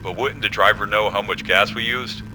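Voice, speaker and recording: Man as gruff army general, very low voice, blunt, commanding, audio drama, noisy rumbling background. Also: steady tone, moderate pace, pushed and bright. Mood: demanding, terse. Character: gruff, hard-nosed male military general.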